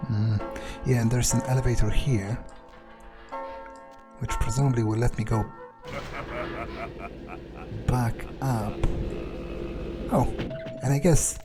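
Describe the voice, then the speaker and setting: A man improvising in-character game commentary as a jaded vampire, thinking aloud in a deadpan, sardonic, musing way. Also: medium pitch, slow pace, long pauses, loud music behind the voice. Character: jaded, unimpressed male vampire muttering his thoughts. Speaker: let's player roleplaying in character.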